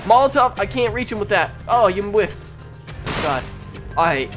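A young man with a very high voice plays through a game in character, improvising as he goes. He barks urgent orders and callouts to his teammates in an excited gamer style. Loud music plays behind him. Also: normal speed. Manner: urgent, shouts commands to teammates. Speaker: gaming video host playing a roleplay game. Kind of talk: excited improvised gameplay roleplay commentary.

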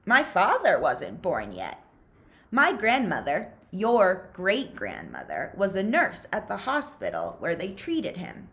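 A woman reads an exasperated dad in a storybook. He explains things to his son in a matter-of-fact but emphatic way, with lively swings in pitch. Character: exasperated father recounting family history to son.

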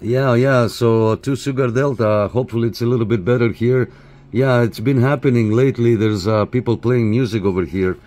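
A man talks as a CB radio operator making contacts. His voice sits at an average pitch. He mixes call signs with casual chat, with clear radio noise behind him. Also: fairly even intonation, fairly quick. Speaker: CB radio operator and channel host. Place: indoor room by CB radio sets. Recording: clear background static and radio hiss. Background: radio static.

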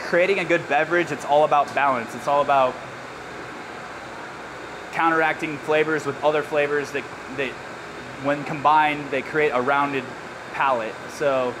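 Man in his thirties, brewer, easygoing explainer, slightly raised pitch, constant hum of machinery behind. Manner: relaxed, explanatory, uses casual slang. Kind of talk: hands-on explanation. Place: large brewery floor with steel tanks, indoors. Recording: clear machinery and air-con noise.

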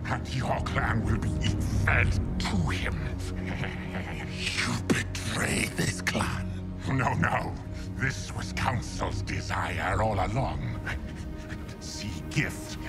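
Frenzied, gravelly ratman zealot. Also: on the low side, extremely slow. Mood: sly menacing. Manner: clipped broken grammar, repeated words, theatrical. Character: scheming, frenzied ratman zealot, cunning and fanatical. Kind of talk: video game cutscene acting. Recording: loud music behind the voice.

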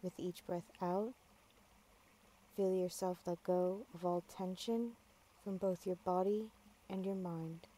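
A woman guiding a yoga nidra meditation, giving calm, measured, gentle instructions with long pauses. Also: lowish voice, rough recording. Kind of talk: guided meditation, slow soothing instruction.

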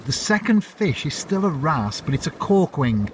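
A man's voice: on the high side, very animated, a little hiss or hum. Chatty middle-aged man on seaside rocks. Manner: chatty and unhurried, with asides to the viewer. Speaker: fishing vlogger. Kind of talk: casual outdoor narration to camera. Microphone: phone camera at arm's length.

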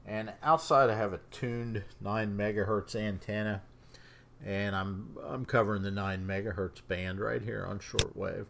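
Low, gravelly man. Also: fairly even intonation, measured pace, a bit bright, slight background noise. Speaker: shortwave radio hobbyist presenting a demonstration. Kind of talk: casual unscripted demonstration.

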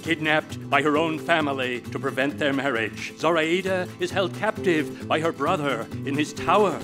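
An actor playing a grave puppeteer showman in a puppet film, theatrical and deliberate in his delivery. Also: on the high side, music in the background, lightly acted. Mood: grand, theatrical. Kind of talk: puppet film storytelling and dialogue.

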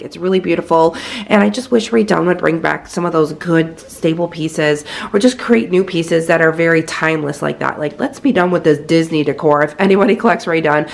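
Gravelly-voiced woman giving a home tour. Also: fairly low pitch. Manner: chatty, many fillers and "like". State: scratchy voice. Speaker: home decor vlogger. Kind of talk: casual home tour narration. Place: inside a house. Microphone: handheld phone camera.

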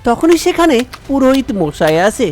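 A man's voice: very high voice, sing-song, moderate pace, darkish tone. A man narrating a scripted cartoon folk story. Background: faint background music.

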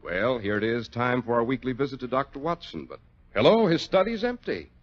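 A man's voice: mid-range voice, very animated, fairly quick, loud music behind the voice, lightly acted. A man with an American accent playing a genial, polished announcer and emphatic salesman in a scripted 1940s radio show. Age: young adult. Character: genial announcer visiting an old doctor. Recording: dull, lo-fi 1940s radio recording.

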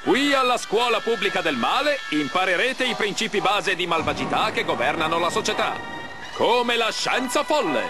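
A showy, cruel announcer for a cartoon villain school. His high, bouncy delivery turns singsong and mocking. Music plays loudly behind him. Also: big pitch swings, moderate pace. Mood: gleefully mocking. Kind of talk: parody promotional film narration, Italian cartoon dub.

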